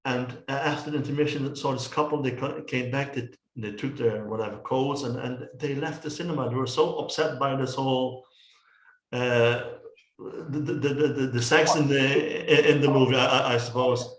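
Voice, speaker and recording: A man's voice: mid-range voice, fairly even intonation, moderate pace. A middle-aged man with a Dutch accent, a guest in a casual chat on a video call, talking with many restarts and repeated words. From Netherlands. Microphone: headset on a video call. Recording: roomy echo.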